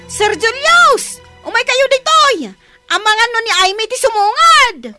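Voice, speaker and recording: A woman with an Ilocano Filipino accent playing a bossy, sharp-tongued, meddling housemaid in a comedy radio drama. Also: very high voice, expressive, a little slow, faint music behind, exaggerated voice. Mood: urgent, shouting.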